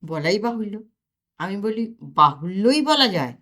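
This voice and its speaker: A woman's voice: medium pitch, big pitch swings, normal speed, a bit bright. A woman voicing a lazy, mischievous young man who teases his friend with mock drama. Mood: teasing.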